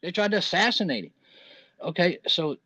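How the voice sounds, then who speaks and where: A man's voice: sits high, animated, a little fast, pushed and bright, no echo. A man in his fifties talking at length on a live audio chat, rambling and emphatic, with restarts. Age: 50s. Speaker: caller. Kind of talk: casual chat. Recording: dull lo-fi audio.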